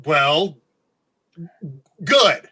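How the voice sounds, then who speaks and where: Casting: male actor for a sarcastic, sheepish radio co-worker, husky, slow hesitant delivery, comedy radio drama. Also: a little high, big pitch swings, sharp tone, heavily acted. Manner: halting, hesitant, stammering.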